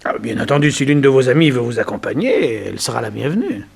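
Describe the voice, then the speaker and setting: An actor playing a forty-year-old self-made immigrant banker, awkward and blunt, stammering in a 1960s French radio drama. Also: male voice, mid-range voice, lively intonation, fast talker, gravelly, slightly rough. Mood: shy, earnest. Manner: hesitant, stammering, repeating words.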